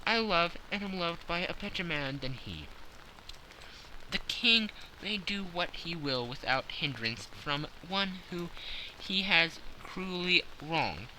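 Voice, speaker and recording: A man's voice: on the high side, animated, slow, bright, forceful tone, a little hiss or hum, exaggerated voice, low-quality recording. A man voicing a poised, teasing young actress. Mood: firm. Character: clever young actress writing a letter.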